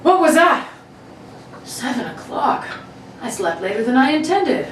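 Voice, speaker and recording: A woman's voice: sits high, very animated, measured pace. A woman playing a cocky male burglar of about thirty in an old-time radio play reading, gloating and mock-courteous. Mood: cool, menacing. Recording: roomy, distant mic, background noise.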